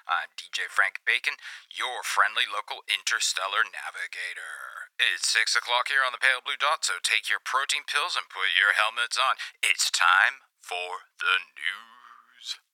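A man voicing a raspy male radio DJ with a space-age patter who drops into hushed, whispery asides. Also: fairly low pitch, lively intonation, normal speed, no echo, poor audio. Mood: breezy.

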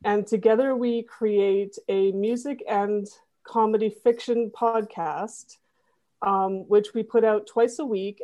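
A woman's voice: mid-range voice, dark tone. A woman guesting on a podcast who introduces herself in a friendly, easygoing way, trailing off at the ends of her sentences. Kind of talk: casual interview.

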